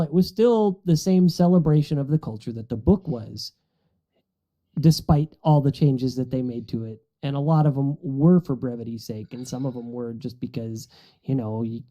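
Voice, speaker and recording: Male co-host with an extremely soft, dark tone, measured and casual in panel discussion. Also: medium pitch, muffled recording. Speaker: podcast co-host.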